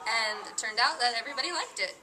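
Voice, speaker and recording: A teenage girl answering interview questions casually, quick and offhand. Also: a little high, lively intonation, background noise. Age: teens. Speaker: student interviewee.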